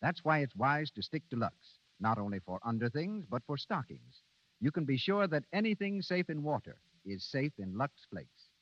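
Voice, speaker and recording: A man's voice: mid-range voice, sing-song, moderate pace, no room sound. A man reading a scripted 1930s radio advertisement as a commercial announcer. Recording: dull vintage 1930s radio recording.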